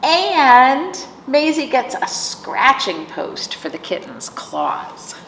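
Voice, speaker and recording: A woman's voice: sits high, big pitch swings, slow, vocal fry, fairly bright tone. A woman hosting storytime, reading picture books aloud to young children with simple explanations and questions. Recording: roomy, distant mic, faint noise.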